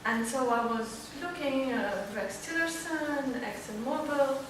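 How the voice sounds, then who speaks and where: A woman's voice: high voice, unhurried. A woman with a Moroccan Arabic accent giving an informal personal talk as a student panelist, searching for words as she goes. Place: library event room, indoors. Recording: very echoey, distant, clearly noisy room recording.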